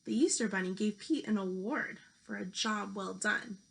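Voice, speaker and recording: A woman teacher reading a children's picture book aloud, speaking deliberately to young listeners. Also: mid-range voice, gravelly, a bit bright, rough recording. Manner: slow, deliberate delivery addressed to young children. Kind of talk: children's storybook read-aloud.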